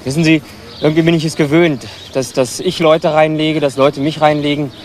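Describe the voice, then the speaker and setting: A man plays a young homeless petty crook in a crime drama, nervous and defensive. The voice is fairly high and agitated, stumbling as it pleads. There is faint background noise. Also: little pitch movement, moderate pace, close to an everyday voice. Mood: pleading, agitated. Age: young adult. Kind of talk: German TV crime drama acting.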